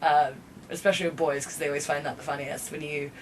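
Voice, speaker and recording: A woman's voice: low voice, level tone, fast. A young woman from Hong Kong, a guest on a podcast, chatting casually about her work as a party entertainer with frequent laughs and "like" fillers. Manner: giggly, lots of "like" fillers. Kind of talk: casual chat. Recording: distant mic with faint room noise.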